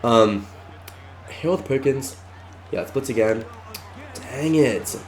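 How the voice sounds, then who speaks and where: A congested man narrating his own video game match live, excitable and shouting at close plays. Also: medium pitch, takes it slowly. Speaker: gaming YouTuber. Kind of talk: live gameplay commentary.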